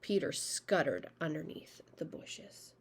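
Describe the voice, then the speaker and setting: A woman with a slightly low voice reads a children's picture book aloud. She is an expressive storyteller who plays up sound words and speaks to her young listeners. Her delivery is that of a read-aloud story time. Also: slow, a little hiss or hum.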